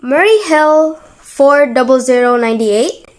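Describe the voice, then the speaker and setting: A woman's voice: high-pitched, a little slow. A woman acting in a radio play as a polite telephone switchboard operator who speaks in formal, routine phrases.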